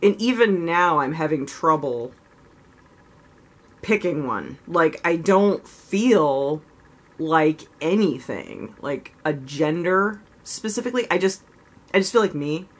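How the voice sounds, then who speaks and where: A woman talking candidly straight to her vlog audience, her voice sitting notably low for a woman. She rambles and circles back, filling her sentences with "like" and "you know". She speaks openly and thinks aloud as she goes. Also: expressive, slow pace. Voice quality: low voice she raises slightly higher than natural. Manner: frank, self-reflective. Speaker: vlogger. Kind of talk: casual confessional vlog.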